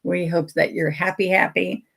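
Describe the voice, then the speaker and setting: A woman's voice: lowish voice, level tone, normal speed, background noise. An older woman, chatting folksily as she teaches a painting lesson and greets viewers by name. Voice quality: raspy. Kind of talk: casual livestream painting lesson.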